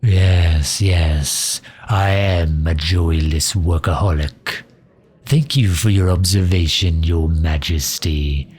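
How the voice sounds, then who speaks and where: A man performs a loyal mage advisor in a fantasy ASMR roleplay. His voice is very low and husky, with plenty of breath in it. He speaks slowly, in a dry, sharp-tongued grumble that breaks into hesitations. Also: heavily acted. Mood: sardonic, prickly. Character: sardonic, loyal male mage advisor to a demon ruler. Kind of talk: intimate ASMR roleplay acting.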